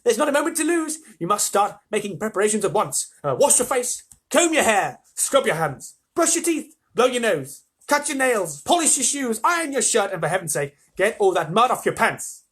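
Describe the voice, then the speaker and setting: A reader shouting as an excitable old grandfather. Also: normal speed, hardly any pauses, slightly rough. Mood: urgent. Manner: shouting, overjoyed, high and strained.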